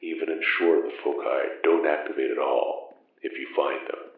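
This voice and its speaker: An actor playing an ancient, solemn, secretive magic-wielder and mentor in an audio drama, speaking in formal, archaic, measured phrases. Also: male voice, rumbling low, steady tone. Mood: grave, measured. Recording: muffled narrow band, echoey, distant.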